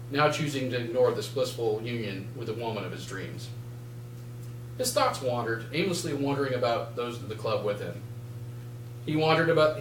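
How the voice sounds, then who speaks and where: Man reading fiction aloud, roomy and distant. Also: medium pitch. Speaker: author reading from own novel. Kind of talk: live dramatic prose reading. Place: indoor bookshop reading event. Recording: roomy, distant mic, faint noise and hum.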